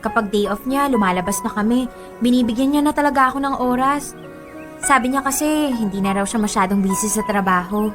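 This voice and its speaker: A woman's voice: fairly high pitch, fairly quick, music in the background, close to an everyday voice. An actress with a Filipino accent playing a giddy, girlish young bride-to-be in a radio drama, gushing to her friend. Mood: earnest.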